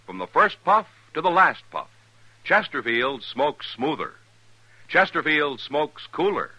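A man's voice: big pitch swings, measured pace, sharp tone, husky, no echo, muffled recording. A man reading a polished, emphatic 1950s radio commercial as announcer. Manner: polished, emphatic sales pitch. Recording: dry 1950s radio recording.

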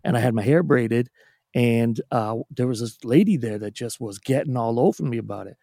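A man's voice: mid-range voice, normal speed, vocal fry, mellow, no echo, studio quality. A Canadian man chatting casually, telling long anecdotes with frequent restarts. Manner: storytelling, self-deprecating, many restarts. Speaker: music producer and guest. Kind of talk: casual podcast chat.

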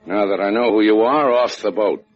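A man's voice: lowish voice, moderate pace, lightly acted. A man playing a tough, wry boat skipper, terse and sardonic, in a 1950s radio drama. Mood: gruffly defiant. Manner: terse, hard-boiled, sardonic. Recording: dull old radio recording with music.

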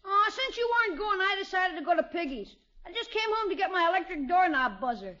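A performer playing a brash, slangy, wisecracking schoolboy nephew in a 1950s radio sitcom. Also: female voice, very high-pitched, big pitch swings, fast, bright, forceful tone, exaggerated voice. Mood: brash, eager. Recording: dull 1950s radio recording.

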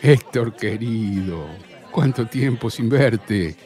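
A man's voice: mid-range voice, lively intonation, takes it slowly, mellow. A man with an Argentine accent playing a polite, earnest, smitten dinner guest in a radio play. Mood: polite, weary.

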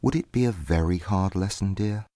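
A woman voicing an earnest young gentleman suitor in a storybook, tender and persuasive in manner. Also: extremely low pitch, moderate pace, dry recording, studio quality, voice pushed down. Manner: persuasive, beseeching.

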